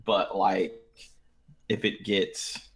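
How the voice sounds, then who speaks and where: A man talking casually on a podcast, rambling in halting bits. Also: low voice, level tone, fairly bright tone. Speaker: podcast regular. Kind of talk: casual chat.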